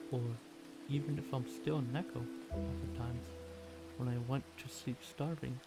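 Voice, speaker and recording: Slow-speaking, pleading catboy played by a man. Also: medium pitch, lightly acted. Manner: pleading, submissive, halting phrases. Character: clingy, devoted stray male catboy, obsessively lovestruck. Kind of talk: ASMR roleplay monologue addressed to the listener. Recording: loud music and rain behind. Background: music and rain.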